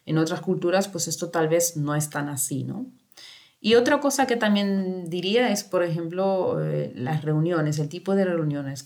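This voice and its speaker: Low-voiced woman explaining calmly. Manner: explanatory, with many fillers and tag questions. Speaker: guest. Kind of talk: casual interview talk.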